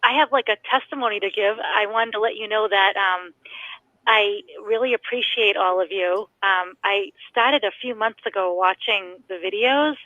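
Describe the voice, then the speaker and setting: A woman's voice: mid-range voice, normal speed, sharp tone, muffled recording. A woman from Massachusetts with a Boston accent, calling in to a live podcast and talking hesitantly with lots of ums and laughs. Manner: hesitant, many ums, giggly. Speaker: caller. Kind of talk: casual call-in chat.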